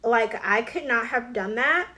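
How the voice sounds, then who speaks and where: A woman's voice: on the high side, animated, measured pace, pushed and bright. A woman, chatting casually while doing her makeup, with lots of fillers and the odd whispered aside. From Alabama. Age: 20s. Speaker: beauty vlogger. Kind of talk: casual get-ready-with-me chat.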